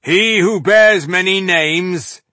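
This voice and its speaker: Booming, slow, menacing giant herald. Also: male voice, no echo, full character voice. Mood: menacing proclamation. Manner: slow, loud, declamatory. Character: gigantic warrior monster, booming menacing herald.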